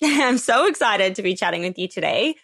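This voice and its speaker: Woman, podcast host, enthusiastic, medium pitch, dry sound. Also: animated, fairly bright tone, no echo. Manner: enthusiastic, quick reactions. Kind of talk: upbeat interview hosting.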